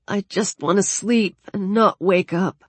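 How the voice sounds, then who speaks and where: A woman's voice: mid-range voice, fairly even intonation, a little slow, breathy edge, no room sound, voice pushed up. A woman voicing a frightened, grieving teenage boy with a thin, fragile voice in an audiobook story. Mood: weary, withdrawn. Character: frightened, grief-stricken teenage boy, exhausted and despairing.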